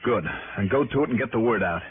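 An actor playing a weary, no-nonsense private investigator in a 1960s radio detective drama, terse and commanding. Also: male voice, mid-range voice, lively intonation, fast, narrow, tinny audio, noisy background, close to an everyday voice. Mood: urgent, commanding. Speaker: lead actor and first-person narrator. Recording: thin band-limited vintage radio recording.

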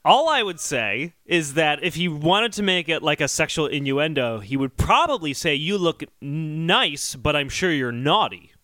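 A man's voice: on the high side, sing-song, normal speed, hardly any pauses, bright, forceful tone, no room sound. A man co-hosting a podcast with casual, joking banter. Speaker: podcast co-host.

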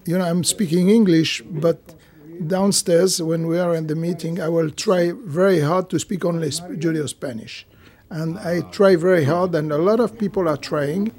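A man from France, talking in a casual interview, halting and searching for words. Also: on the high side, darkish tone. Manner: halting, with many uh fillers and restarts.

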